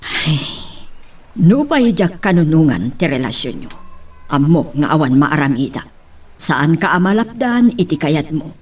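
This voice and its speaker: A woman's voice: low-pitched, darkish tone, far from the mic. A woman playing a concerned, protective middle-aged mother who probes and advises in an Ilocano radio drama. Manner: motherly, questioning.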